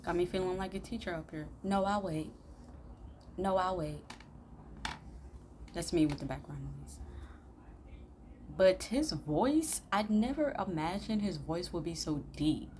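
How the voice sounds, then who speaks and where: A young woman hosts a casual reaction video from a room at home. Her voice sits on the low side, and her manner is informal and expressive. There is clear noise in the recording. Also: moderate pace.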